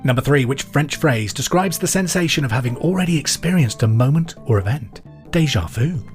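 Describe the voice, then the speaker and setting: A man hosting a pub quiz podcast, reading questions and answers in a clear, deliberate way with casual asides. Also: mid-range voice, expressive. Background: music under the voice.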